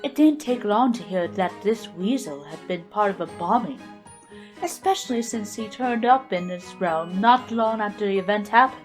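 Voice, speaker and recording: A woman's voice: mid-range voice, lively intonation, normal speed, faint music behind, close to an everyday voice. A woman playing a composed, confident spirit testifying in an amateur audio drama. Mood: matter-of-fact. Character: knowing female spirit witness, composed and confident.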